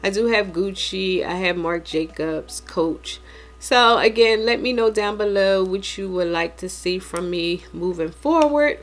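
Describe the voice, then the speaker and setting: A woman's voice: mid-range voice, measured pace, faint music behind. A woman in her forties with an American accent, chatting casually and informally to viewers as a vlogger and repeating points for emphasis. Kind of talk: casual unboxing chat to viewers.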